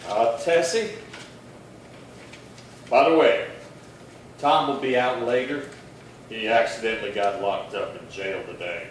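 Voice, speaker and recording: A steady radio-Western marshal played by a man speaks with measured gravity. He sounds far from the mic in a strongly echoing hall, reasoning firmly and persuasively. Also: mid-range voice, lightly acted. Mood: easy, kindly. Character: steady, grave Old West lawman marshal. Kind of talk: Western radio drama acting. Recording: echoey hall, distant mic, faint noise.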